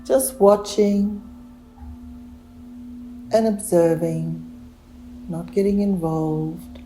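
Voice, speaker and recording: A woman leading a guided relaxation meditation, giving gentle, soothing instructions with long unhurried pauses. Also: fairly low pitch, fairly even intonation, soft background music, rough recording.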